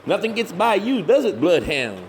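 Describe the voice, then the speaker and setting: A crude, cocky backwoods monster hunter in an audio comedy. His Southern voice sits high and swings widely, full of folksy sarcasm. There is clear noise behind him. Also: big pitch swings, normal speed, poor audio. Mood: mocking. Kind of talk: comedy horror audio drama acting.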